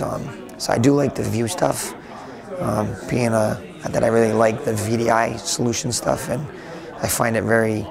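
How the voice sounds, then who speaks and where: Gravelly man on a noisy convention floor. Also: mid-range voice. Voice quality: raspy. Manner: rambling with frequent restarts. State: hoarse from talking a lot. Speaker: interviewee, tech blogger. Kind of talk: casual conversational interview. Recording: noisy crowded convention hall. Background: crowd chatter.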